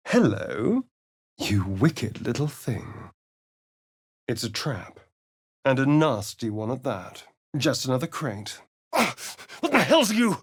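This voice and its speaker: A man's voice: medium pitch, full character voice. An actor playing a sardonic, flirtatious male vampire spawn elf in a video game, with a theatrical, teasing drawl.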